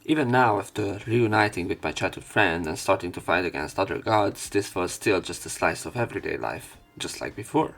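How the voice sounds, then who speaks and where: Low-voiced man reading a game aloud. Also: animated, a little fast, creaky, few pauses, fairly bright tone, soft background music. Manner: informal, wry asides with swearing. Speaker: let's play commentator. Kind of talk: reading visual novel narration aloud with casual commentary.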